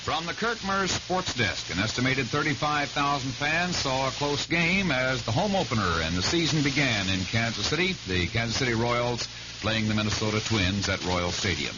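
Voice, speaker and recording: American sportscaster in heavy hiss. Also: male voice, mid-range voice, animated, normal speed. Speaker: radio sports and weather newsreader. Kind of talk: scripted news reading. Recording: dull radio recording with heavy hiss.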